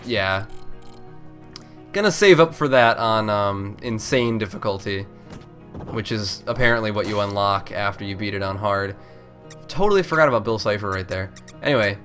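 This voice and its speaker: A man's voice: mid-range voice, a bit bright. A man with a congested, stuffed-up voice giving casual, rambling video game commentary, often interrupting himself and swearing freely. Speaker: let's play host. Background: video game music.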